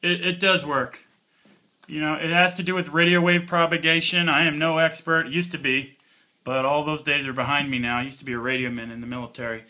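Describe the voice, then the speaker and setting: A man's voice: fairly high pitch, steady tone, fairly quick, bright, forceful tone. A man giving a casual hands-on product review in an informal, plainspoken, folksy way. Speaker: product reviewer, channel host.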